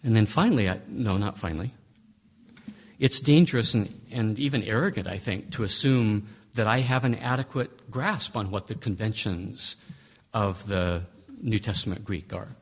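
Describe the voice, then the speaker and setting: Husky, emphatic male Bible lecturer. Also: on the low side, moderate pace. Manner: conversational, emphatic, with frequent restarts and rhetorical questions. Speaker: Bible teacher. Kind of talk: lecture on interpreting scripture.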